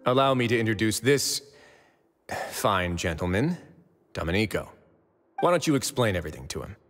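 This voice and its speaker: Low, suave prison overseer. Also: male voice, big pitch swings, normal speed, a bit bright. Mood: wry, suave. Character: suave, authoritative male prison overseer, coolly persuasive. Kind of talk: scripted video game dialogue. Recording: long hall reverb, music behind.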